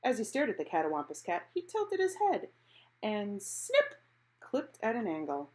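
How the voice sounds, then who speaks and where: A woman reading a picture book aloud expressively, in an animated storytelling manner. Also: medium pitch, normal speed. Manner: animated, acting out characters' exclamations.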